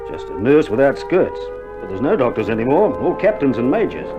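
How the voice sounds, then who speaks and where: A man's voice: mid-range voice, big pitch swings, brisk, over music, natural voice. An actor playing a wry, reassuring Australian army male nurse in a wartime radio drama, with laconic, joking banter, heard on an old vinyl record. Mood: chatty, wry. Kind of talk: 1960s radio drama acting. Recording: old vinyl recording, dull band, faint noise.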